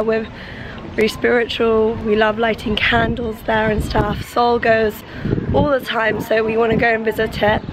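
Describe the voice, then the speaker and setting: Hoarse twenties woman vlogging on a city walk, bit high voice, rambly with lots of ums. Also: background noise. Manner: chatty, giggly, rambling with fillers. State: losing her voice, hoarse. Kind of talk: casual travel vlog. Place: outdoors on a city street. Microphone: camera held at arm's length.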